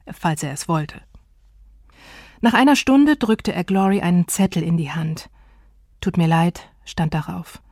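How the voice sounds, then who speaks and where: A woman narrating an audiobook in a calm, measured storytelling manner. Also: on the low side, no echo, clean studio sound. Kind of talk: scripted narration.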